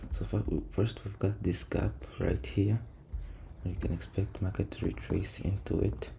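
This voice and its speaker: A man with a low, creaky voice gives casual, hesitant, low-energy commentary as he works through a trading screen, repeating words as he thinks aloud. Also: flat delivery, moderate pace, mellow. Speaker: trader recording a backtesting session. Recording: noisy room, keyboard and typing sounds.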